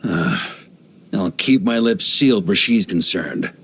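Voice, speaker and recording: An actor playing a hearty, easygoing veteran knight grandmaster in a video game, speaking in an expressive, playful, conversational way. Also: male voice, low voice, slow, slightly rough, exaggerated voice. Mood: guarded. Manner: jovial, expressive, playful, chuckling asides.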